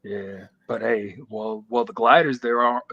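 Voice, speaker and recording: A man's voice: fairly low pitch, fairly even intonation, measured pace, creaky, a bit bright, dry recording, dull audio. A man in a casual chat who mostly agrees, with dry joking asides.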